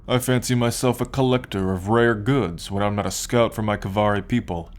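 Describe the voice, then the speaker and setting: A man playing a smug, possessive alien captor in an ASMR roleplay, speaking in a condescending, taunting and menacing drawn-out way. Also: lowish voice, natural voice. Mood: smug, gloating. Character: smug, possessive male alien abductor and collector. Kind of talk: ASMR roleplay, slow dramatic monologue.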